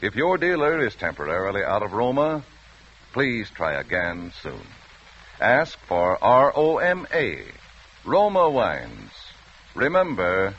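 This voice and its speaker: A man's voice: mid-range voice, a bit bright. An American man reading a 1940s radio advertisement as announcer, with polished, emphatic salesmanship. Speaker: commercial announcer. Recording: dull vintage radio recording.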